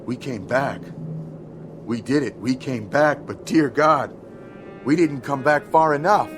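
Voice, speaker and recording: A man's voice: mid-range voice, measured pace, music in the background, lightly acted. An actor playing a shaken airline crewman in a radio drama, urgent and emotional. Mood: stunned dismay.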